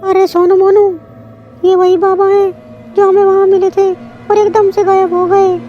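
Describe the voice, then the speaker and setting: A very high-pitched, frightened young girl from a horror story. The pitch stays up in a narrow band as the voice frets and pleads. Faint music plays underneath. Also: flat delivery, unhurried, mellow. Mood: scared, uneasy. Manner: panicky, pleading.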